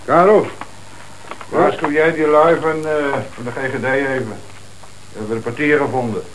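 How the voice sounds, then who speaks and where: Junior detective in radio drama, male actor: dutiful, matter-of-fact, mid-pitched, Netherlands Dutch accent, slightly hissy recording. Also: normal speed, natural voice. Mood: matter-of-fact, alert. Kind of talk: Dutch radio play acting.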